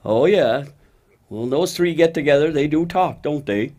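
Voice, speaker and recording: A man voicing a steady, kindly cow who talks plainly and patiently, gently teaching, in a children's puppet show. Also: fairly high pitch, moderate pace, close to an everyday voice. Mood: agreeable. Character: steady, faithful cow, a wise kindly friend.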